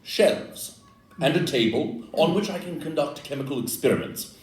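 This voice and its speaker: Guy doing a formal victorian detective in an audio play, lively delivery, sure of himself, far from mic w faint hiss. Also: fairly high pitch, lightly acted. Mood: precise, self-assured. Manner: precise, formal, measured wording. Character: Victorian gentleman detective, precise, dry, self-assured. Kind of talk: stage audio-play acting. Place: live performance in a club room. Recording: distant roomy live recording, faint noise.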